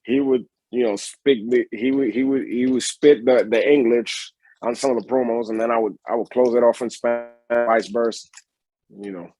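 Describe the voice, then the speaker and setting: A man with a Puerto Rican accent answers interview questions at an even middle pitch. He rambles, trips over his words and fills gaps with "uh". The sound is dry, with no room around it. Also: normal speed, no room sound, low-quality recording. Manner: rambling, many restarts and fillers. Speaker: pro wrestler guest. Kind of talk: casual interview.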